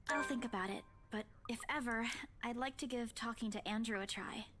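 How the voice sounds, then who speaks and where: A woman's voice: on the high side, a little fast, noisy background, lightly acted. A woman voice acting a hesitant, worried young heroine in a visual novel, tentative and questioning.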